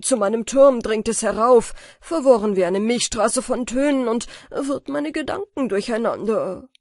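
A woman's voice: on the high side, moderate pace, darkish tone, no room sound, clean studio sound. A woman voicing an aggrieved male court astronomer in a storybook, declaiming his plea to the king. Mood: indignant, pleading.

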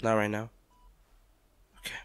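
A man's voice: a little slow, fairly bright tone, no echo, close to an everyday voice. A man playing a devoted, protective partner in an intimate audio drama, tender and reassuring as he comforts an injured loved one. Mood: gentle, coaxing. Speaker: audio roleplay performer.

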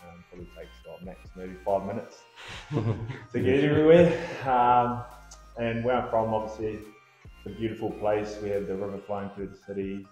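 Man, mellow low voice, flat delivery, roomy echo. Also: normal speed, faint music behind. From New Zealand. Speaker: rugby player. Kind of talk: casual panel chat. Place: indoor room with a branded backdrop.

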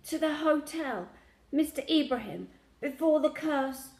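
A woman playing a gravely sick old grandfather in an audiobook, croaky and breathless, speaking in urgent, broken phrases. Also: sits high, animated, measured pace, darkish tone, echoey room, pitched above the performer's own voice. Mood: desperate, gasping.

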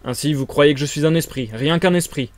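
A man's voice: a little high, brisk, no echo, lightly acted. Man voicing a terse, commanding invisible man. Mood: impatient. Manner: terse, insistent. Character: invisible man, commanding, impatient, menacing yet controlled. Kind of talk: dramatized audiobook reading.

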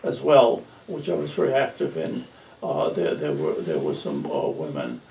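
An older man recalling campus groups with halting speech and many fillers. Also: a bass voice, big pitch swings, gravelly, warm tone. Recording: distant echoey room.

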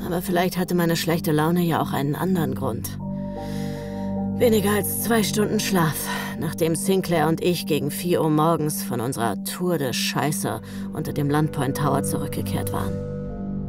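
Low-voiced, hard-bitten female narrator. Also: normal speed, over loud music. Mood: sardonic, irritated. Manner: terse, sardonic, swearing. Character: sullen, hard-bitten young female first-person narrator. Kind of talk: audio drama narration.

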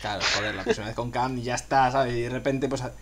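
A quick-talking young man on a voice call who jokes around and swears casually, blunt and easygoing. His pitch sits in the middle, and his words tumble out fast. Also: fairly even intonation. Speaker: guest, gaming clan leader.

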